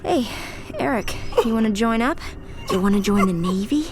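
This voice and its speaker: A woman's voice: medium pitch, animated, moderate pace, noisy background, lightly acted. A young woman playing a determined, merciful squad leader who gives earnest, firm orders in a radio drama. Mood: calm, coaxing. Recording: radio drama with loud action sound effects.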